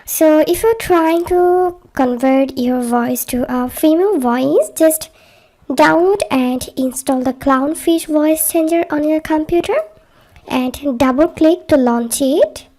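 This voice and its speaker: High-pitched, slow-talking woman narrating a tutorial. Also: warm tone. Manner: step-by-step, instructional. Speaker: tutorial presenter. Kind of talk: scripted how-to narration.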